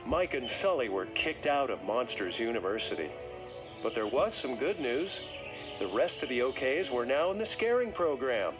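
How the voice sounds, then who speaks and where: Expressive male storybook narrator over music. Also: mid-range voice, normal speed. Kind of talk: scripted read-along children's story narration.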